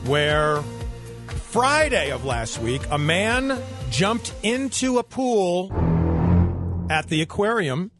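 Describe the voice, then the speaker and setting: A man co-hosting a radio morning show with lively banter and storytelling. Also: high voice, takes it slowly, long pauses, over loud music.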